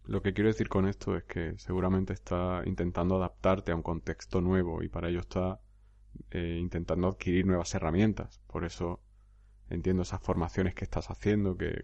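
Blunt deep-voiced man with gravelly tone. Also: little pitch movement, normal speed, dark tone, no echo, dull audio. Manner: blunt, emphatic, repeats points. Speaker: podcast host and coach. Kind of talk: podcast commentary.

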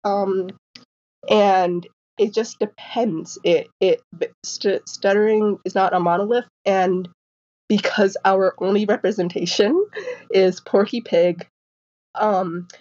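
A woman's voice: medium pitch, takes it slowly, dull audio. Woman in her twenties who stutters. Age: 20s. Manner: stutters, with repeated sounds and restarts; animated storytelling, acting out quoted people. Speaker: guest author. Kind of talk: casual interview chat.

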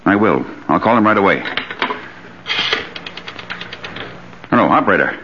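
A man's voice: low-pitched, lively intonation, rapid-fire. An actor playing a paranoid, hard man in fear of murder, agitated and stammering in old radio melodrama style. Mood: nervous. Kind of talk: 1940s radio thriller melodrama acting. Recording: muffled, hissy old radio recording.

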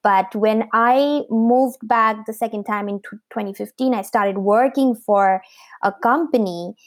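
A woman's voice: a little high, a little slow, no echo. A woman in her thirties from Bangladesh, a startup founder, answering interview questions with many fillers and restarts. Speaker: guest, fashion startup founder.

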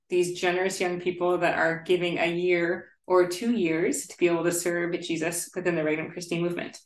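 A woman hosts a podcast with an upbeat, welcoming manner and a slightly low voice. She is recorded in an echoey room. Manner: enthusiastic, welcoming. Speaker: podcast host. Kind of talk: podcast hosting, conversational. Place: room shared with guests.

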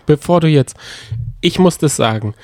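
A man's voice: a little high, expressive, moderate pace, dark tone, no room sound, studio quality. A man co-hosting a reality TV recap show in casual, bantering conversation.

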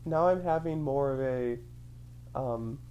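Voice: mid-range voice, slow, mellow, muffled recording, slight background noise. A speaker with a mid-range voice, chatting casually with a friend. The talk rambles, packed with fillers, and the speaker acts out their own thoughts in little quotes. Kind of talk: casual chat, storytelling.

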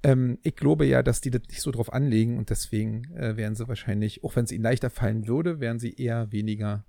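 A man's voice: mid-range voice, steady tone, fast, gravelly, mellow, no echo, studio quality. A man co-hosting a casual podcast chat, joking around with lots of fillers and restarts. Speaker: podcast co-host.

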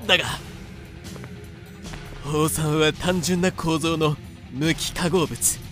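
A man playing a self-important, calmly confident young would-be god, explaining smugly in a fan-made comedic audio drama. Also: fairly high pitch, over music. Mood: assured lecturing. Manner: smug, measured explaining.

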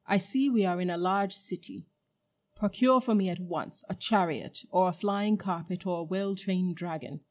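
A woman's voice: fairly low pitch, a little mellow, natural voice. A woman voicing a cruel, towering witch queen who speaks with cold, scornful command.

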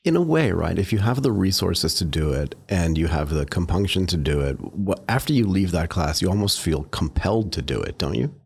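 Low-voiced, husky male podcast host. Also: animated, a little fast, few pauses. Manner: enthusiastic, chatty, laughs easily. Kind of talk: casual interview chat.

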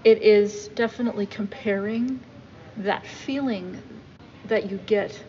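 A woman's voice: mid-range voice, measured pace, mellow, lo-fi sound, a little hiss or hum. Thoughtful woman co-host, echoey. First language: not Korean. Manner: thoughtful, hedging. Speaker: podcast co-host. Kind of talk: casual discussion of song lyrics.

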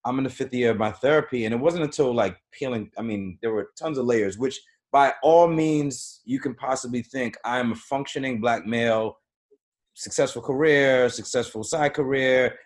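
A man talking reflectively in a casual podcast discussion, often pausing and restarting his thoughts. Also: mid-range voice.

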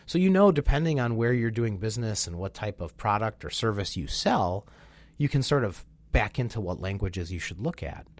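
A man co-hosting a business webinar, slightly nasal and congested from a sinus infection, talking in an animated, enthusiastic way with lots of "you know"s. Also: fairly low pitch, moderate pace. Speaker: webinar co-host. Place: Chicago.

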